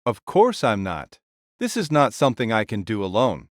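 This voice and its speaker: A man's voice: fairly low pitch, huge pitch swings, normal speed, no room sound, studio quality, voice pushed down. A man voicing a proud, commanding warrior king in an audio drama, with grandiose, declamatory delivery.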